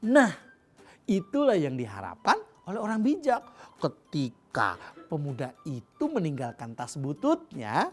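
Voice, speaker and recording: An Indonesian woman narrating a children's fairy tale in an animated, expressive way with dramatic pauses. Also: sits low. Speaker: children's storyteller. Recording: music behind the voice. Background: music.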